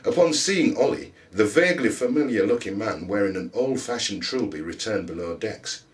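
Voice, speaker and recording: A man with a deep voice reads a novel aloud. His delivery is dramatic and expressive, swinging widely in pitch like a stage storyteller. The microphone sits some way off from him. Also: normal speed. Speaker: actor reading a book excerpt aloud. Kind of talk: expressive literary narration. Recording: distant microphone.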